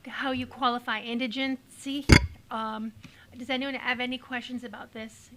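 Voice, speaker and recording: A woman's voice: on the high side, flat delivery, normal speed, noisy background. A woman council member hesitantly asking the council whether anyone has questions. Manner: hesitant, asks around the table. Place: council chamber.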